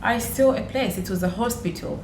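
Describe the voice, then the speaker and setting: A woman's voice: fairly high pitch, normal speed. A woman from Uganda with a Ugandan accent, telling personal stories in conversation as a podcast guest and repeating phrases for emphasis. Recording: echoey room with clear background noise.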